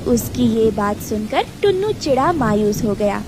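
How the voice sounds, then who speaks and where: A woman's voice: a little high, lively intonation, measured pace, noisy background. A woman narrator reading a scripted children's story.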